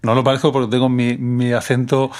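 A man's voice: mid-range voice, completely flat delivery, fairly quick, darkish tone, smooth. A man from Menorca hosting a conversational interview, reflective and telling a personal story at length. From Menorca, Spain.

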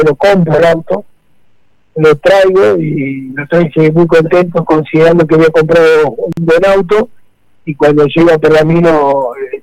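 A middle-aged man from Santa Fe speaks with an Argentine accent in a casual radio interview. His voice sits a little high, and he talks haltingly, with many fillers and repeated words. The sound is dry and close to the mic. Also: a little mellow, dry recording, rough recording. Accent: Argentine Spanish. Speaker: rally co-driver guest.

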